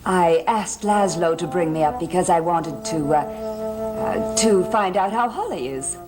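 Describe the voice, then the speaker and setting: An actress playing a middle-aged, sociable and meddling society matron in a gothic television soap, gushing and coaxing. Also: female voice, on the low side, unhurried, close to an everyday voice. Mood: prim, sociable. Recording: old TV soundtrack with faint music.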